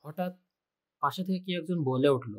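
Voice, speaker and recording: A young man narrating a spooky story in the first person, calm and steady. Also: a little high, moderate pace.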